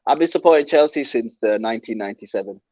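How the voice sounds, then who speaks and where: A man's voice: mid-range voice, expressive, quick pace, mellow, no echo. Man on a dull call line. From Yorkshire, England. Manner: easygoing, repeats himself. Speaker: caller on a fan livestream. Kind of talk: casual football chat.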